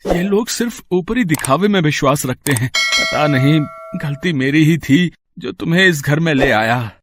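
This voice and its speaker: A man's voice: on the high side, expressive, normal speed, very noisy, close to an everyday voice. A man playing a stern but kindly older father-in-law in a cartoon family drama, grave and paternal in manner. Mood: regretful, consoling. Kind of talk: Hindi cartoon family melodrama acting.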